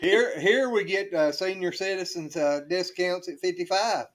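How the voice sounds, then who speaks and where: A man's voice: high voice, moderate pace, a loud crowd. A man joking and teasing others in a casual group chat. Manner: teasing joker.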